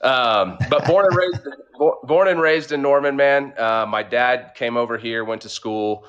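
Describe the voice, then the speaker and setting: A man's voice: medium pitch, unhurried, a bit bright, muffled recording. A man with a light Oklahoma drawl talks through his background in an easygoing, conversational way. He stretches some words out and leans on fillers as he goes, his voice sitting in an ordinary middle register. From Oklahoma, USA. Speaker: podcast guest, entrepreneur. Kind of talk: casual interview storytelling.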